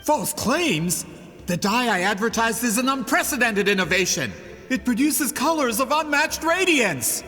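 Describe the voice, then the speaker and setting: An actor voicing an arrogant, scheming would-be business magnate in a fantasy game, with a pompous, theatrical delivery. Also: male voice, extremely high pitch, very animated, measured pace, sharp tone, faint music behind, heavily acted. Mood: indignant. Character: scheming, arrogant would-be printing magnate posing as book merchant. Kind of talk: animated fantasy game voice acting.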